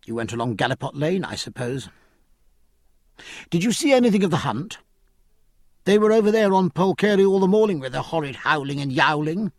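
A man voicing a silly, excitable young woman who is petulant and easily shocked. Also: a little fast, long pauses, dry recording, studio quality. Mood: chattering. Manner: high, breathless, whining.

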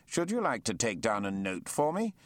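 Narrator guy doing a hearty brisk sea captain, bouncy pitch, dry sound. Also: very animated, no echo. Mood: genial. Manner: brisk, genial, commanding. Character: hearty, confident male naval captain.

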